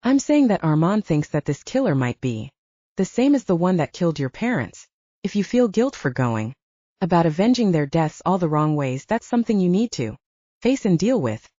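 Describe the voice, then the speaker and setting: A woman voicing a blunt, brisk female investigator who coaches like a mentor in an audiobook. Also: on the low side, sing-song, dark tone, no room sound. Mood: firm, coaching. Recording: dull lo-fi, very dry.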